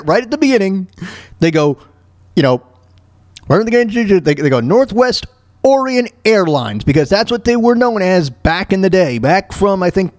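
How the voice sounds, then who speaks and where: A male podcast host with a high, very animated voice, recorded dry and close. He rants in casual, opinionated bursts, stumbling and repeating himself in exasperation. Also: dry recording. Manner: halting, repetitive, exasperated, throat-clearing. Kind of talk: opinionated rant, casual talk.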